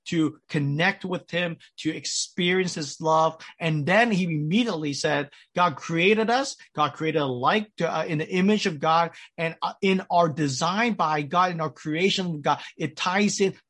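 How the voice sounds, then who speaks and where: Man with an American accent, pastor, slightly high voice, measured preaching, restarts. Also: a bit bright. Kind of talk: sermon.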